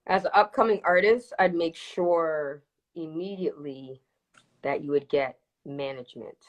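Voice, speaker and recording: A woman's voice: low voice, measured pace, low-quality recording. A woman from Toronto with early years in Jamaica, chatting casually and emphatically as an interview guest, repeating words for stress. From Toronto, Canada, with early childhood in Jamaica. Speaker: interview guest, reggae dancehall singer. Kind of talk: casual live interview chat. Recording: livestream video call.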